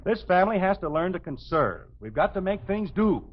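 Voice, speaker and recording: A man's voice: high-pitched, very animated, a little fast, heavy background noise. An American actor playing an exasperated, sarcastic, thrifty middle-aged father in a 1950s radio comedy. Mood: grumbling, stern. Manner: blustering, sarcastic, scolding. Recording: dull vintage 1950s radio broadcast.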